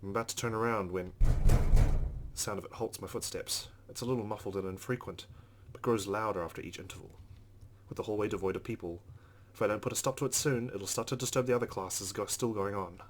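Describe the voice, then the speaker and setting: A man's voice: on the low side, a little fast, slight background noise. A man reading a visual novel aloud as dramatic narration for a let's-play.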